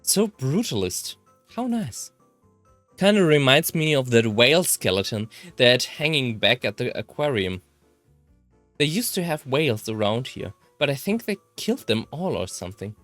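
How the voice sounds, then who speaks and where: A German man with a German accent reading first-person visual novel text aloud as a let's player, in an expressive way. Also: mid-range voice, moderate pace, dry recording, faint music behind.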